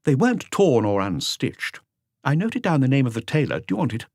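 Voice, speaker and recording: Man playing junior police officer, raised pitch, brisk inquisitive manner, crime audiobook dialogue, dry sound. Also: very animated, darkish tone, dry recording. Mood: matter-of-fact. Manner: matter-of-fact reporting, questioning.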